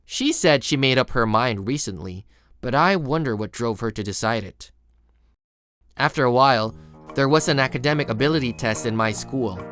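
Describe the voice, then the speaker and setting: A reserved, studious teenage boy played by a voice actor in a manga cartoon. He sounds introspective and self-doubting, with lively intonation at a middling pitch. Music plays softly behind him. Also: normal speed, no echo, lightly acted. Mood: reflective. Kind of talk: manga video character narration and dialogue.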